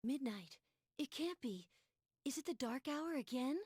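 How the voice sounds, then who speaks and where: A woman playing a gentle, earnest android girl in a video game, speaking politely and with measured hesitation. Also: high-pitched, expressive, slightly rough, dry recording, clean studio sound, heavily acted. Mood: uneasy. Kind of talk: scripted video game cutscene acting.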